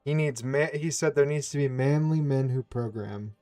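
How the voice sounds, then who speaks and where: A man of middling pitch co-hosting a laid-back coding stream. He asks questions and throws in jokes without hurry. His tone stays easygoing and conversational. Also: normal speed, warm tone, soft background music. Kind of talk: casual chat on a coding stream.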